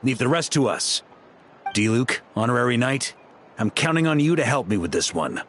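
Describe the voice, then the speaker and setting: An actor playing a sly, confident knight captain in a video game, talking in a wry, teasing way. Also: male voice, medium pitch, animated, normal speed, a bit bright, slight background noise, natural voice. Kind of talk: game voice acting.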